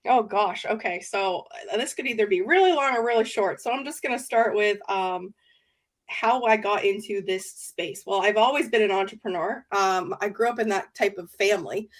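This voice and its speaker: A woman's voice: medium pitch, moderate pace. Woman guest, nasal and congested from a cold, chatty and laughing. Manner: chatty, laughs often, many fillers. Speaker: podcast guest. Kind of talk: casual chat.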